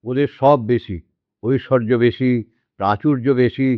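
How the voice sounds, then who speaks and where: A man's voice: medium pitch, measured pace, no echo, narrow, tinny audio, close to an everyday voice. A man playing an elderly Bengali father in a radio drama, resigned and dryly sardonic. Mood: wry, sardonic. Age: older.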